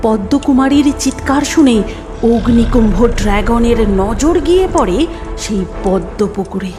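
A woman's voice: a little high, lively intonation, measured pace, music in the background. A woman narrating a scripted fairy tale as a storyteller.

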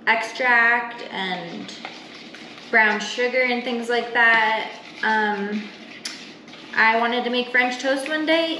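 A woman's voice: on the high side, sharp tone. A young adult woman giving a casual, step-by-step cooking demonstration in an informal, explanatory way while she works in a home kitchen. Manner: informal, explanatory, talking while working with her hands. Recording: roomy kitchen echo, clear background noise.

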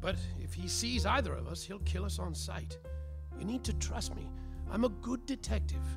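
A man's voice: high-pitched, expressive, moderate pace, vocal fry, music in the background. A man playing a world-weary, bumbling private detective, melodramatic and self-important, in a noir parody radio play. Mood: earnest persuading.

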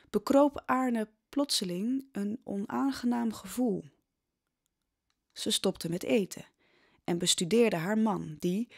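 A woman's voice: mid-range voice, vocal fry. A woman narrating a historical audio drama as scripted, dramatic storytelling.

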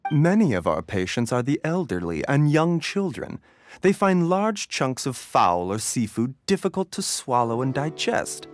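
A genteel, expressive young doctor. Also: male voice, mid-range voice, no room sound, studio quality, faint music behind. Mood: explanatory, calm. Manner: courteous, measured, scholarly. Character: genteel, soft-spoken young male herbal doctor. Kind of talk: polished game dialogue acting.

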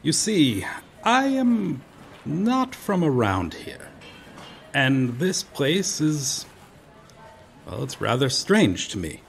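A man playing a courteous, befuddled medieval knight in an audio roleplay, speaking earnestly to the listener in formal, theatrical, archaic phrasing with hesitant pauses. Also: a little high, sing-song, gravelly, slight background noise, exaggerated voice. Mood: polite, puzzled. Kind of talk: ASMR roleplay audio drama, addressing the listener.

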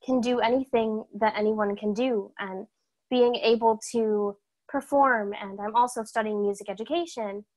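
A woman's voice: a little high, moderate pace. Upbeat young woman on a video call. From Massachusetts, USA. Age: young adult. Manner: upbeat, energetic, chatty with fillers. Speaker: guest performer. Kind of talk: casual self-introduction. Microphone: laptop video call.

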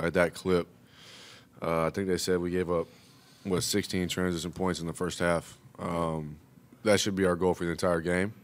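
A young man, a college basketball player, answering questions at a postgame press conference, relaxed and full of "you know". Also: low voice. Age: young adult. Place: press room, seated at table. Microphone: table mic.

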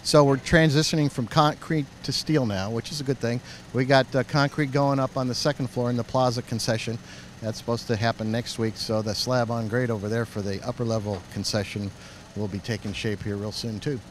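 A middle-aged man with a medium-pitched voice gives plain, unpolished answers full of pauses and fillers. He talks into a handheld mic outdoors in a half-built stadium. Faint city noise sits behind him. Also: fairly even intonation. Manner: plain-spoken, halting, with many fillers. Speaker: project manager. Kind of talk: casual on-site interview answers. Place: outdoors in an unfinished stadium under construction. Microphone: host's handheld microphone.

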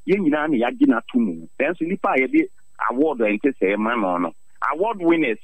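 A man's voice: medium pitch, no room sound, phone-quality sound. A man with a Ghanaian accent speaking emphatically in a radio interview as a farmers' leader, airing grievances with pointed rhetorical questions. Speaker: farmers' association president, interviewee.

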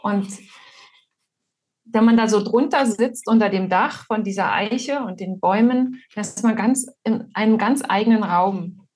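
Breathy, thoughtful German woman. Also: mid-range voice, a little mellow. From Potsdam, eastern Germany. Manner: halting, thoughtful. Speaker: guest, author. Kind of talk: reflective conversational storytelling. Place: indoor room at home, on a video call.

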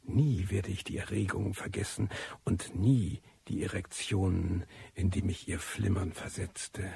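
An actor playing a disillusioned, cynical recluse who tells his life story in a radio drama, wry and bitter, with a husky, gravelly voice. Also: sits low, steady tone, measured pace, warm tone, full character voice. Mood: dry, confiding. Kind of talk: radio drama first-person monologue.

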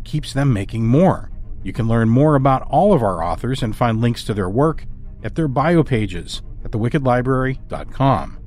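A man hosting and narrating a scripted horror podcast in a dramatic, deliberate manner. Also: medium pitch, animated, darkish tone. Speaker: host and story narrator. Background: music score.

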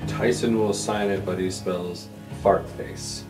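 A man's voice: low-pitched, steady tone, slow pace. A man playing a tabletop game, casually describing what his character does. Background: background music.

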